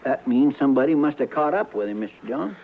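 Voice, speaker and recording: An actor playing an earnest, deferential Western deputy sidekick with a rural American accent in a radio drama. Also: male voice, mid-range voice, animated, fast. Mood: earnest, puzzled. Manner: folksy, deferential, plain-spoken. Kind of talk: old-time Western radio drama acting. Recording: tinny, noisy old radio recording.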